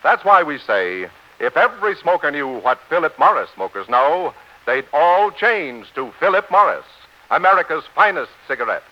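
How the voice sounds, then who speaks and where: A man's voice: fairly high pitch, very animated, moderate pace, noisy background. A man announcing on 1940s radio, reading in an emphatic, declamatory style. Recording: muffled 1940s radio broadcast.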